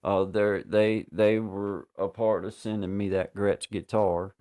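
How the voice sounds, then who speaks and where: Male stream host, low register, easygoing and folksy, loose everyday chat. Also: steady tone, measured pace, dark tone. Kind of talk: casual chat.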